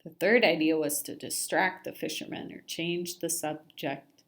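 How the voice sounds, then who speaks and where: A woman reading a children's picture book aloud in an expressive storytelling style. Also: low-pitched, unhurried.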